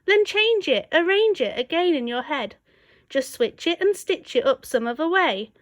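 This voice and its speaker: Woman playing a high-pitched, curious little storybook girl. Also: expressive, normal speed, no echo. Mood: encouraging. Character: curious, bookish little girl, polite and bold.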